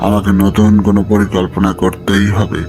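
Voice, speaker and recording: A man's voice: lowish voice, completely flat delivery, a little fast, over music, natural voice. A man voicing a cunning, greedy bandit chief in a cartoon, with a gloating, menacing manner and cackling laughs. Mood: desperate. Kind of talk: cartoon voice acting.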